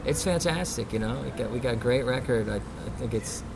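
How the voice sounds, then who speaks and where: A man's voice: mid-range voice, lively intonation, brisk, very noisy. A man who plays in a band, answering interview questions in a loose, rambling way full of fillers and "you know". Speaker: band musician.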